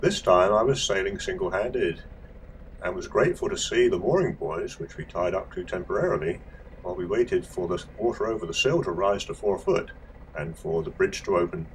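Guy narrating his sailing trip voice-over, low voice, steady and matter of fact, bit of faint hiss behind. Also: low-quality recording. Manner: plain, measured, matter-of-fact. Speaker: amateur sailor narrating his cruise.

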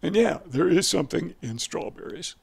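An older man with a rough, gravelly voice speaks through a headset mic. His voice sits fairly high for a man. He explains things in a folksy, wandering way and keeps stopping to correct himself. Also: lively intonation, normal speed. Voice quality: raspy. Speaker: video educator. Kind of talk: casual explanatory monologue.

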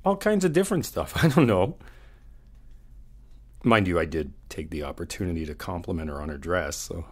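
Low-voiced, easygoing guy on the phone, acted by a man. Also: expressive. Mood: smitten, bashful.

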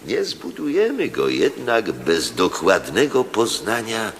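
An actor playing an imperious, skeptical Roman proconsul in a radio drama, speaking in a stately, declamatory way. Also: male voice, lowish voice, wildly swooping pitch, takes it slowly, gravelly, a little hiss or hum, exaggerated voice. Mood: stern, lofty. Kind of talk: theatrical radio drama acting.

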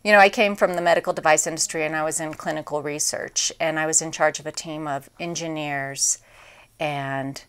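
Smooth-voiced woman mayor, lowish pitch. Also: steady tone, brisk, fairly bright tone. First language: English. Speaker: town mayor. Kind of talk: studio interview answers. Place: small TV studio set, indoors.

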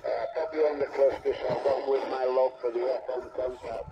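A man chats as a CB operator making a contact. His delivery is flat in pitch, and the transmission sounds dull and noisy through the radio speaker. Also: fairly quick. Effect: heard through a radio speaker.

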